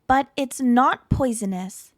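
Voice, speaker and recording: Woman as a high-voiced, earnest little girl. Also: takes it slowly, no room sound, clean studio sound. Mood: defiant, scowling. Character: proud, stubborn little girl who loves odd plants.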